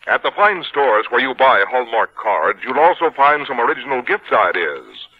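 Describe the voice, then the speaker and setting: A man's voice: medium pitch, very animated, normal speed, husky. A man doing formal, polished, scripted 1950s radio commercial announcing. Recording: muffled 1950s radio recording.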